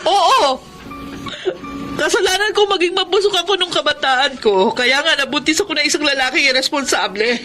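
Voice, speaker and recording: A woman's voice: high-pitched, normal speed, over music, natural voice. An actress playing a hardworking, hurt single mother, speaking expressively in a Filipino accent in an emotional drama. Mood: tearful, hurt. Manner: emotional, expressive, plain-spoken. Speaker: actor in a drama. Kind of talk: emotional Filipino radio-style drama acting.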